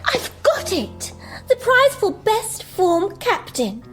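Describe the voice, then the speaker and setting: A woman's voice: very high-pitched, very animated, takes it slowly, music in the background, exaggerated voice. An actress playing an eager, proud schoolgirl daughter in a radio drama. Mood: excited.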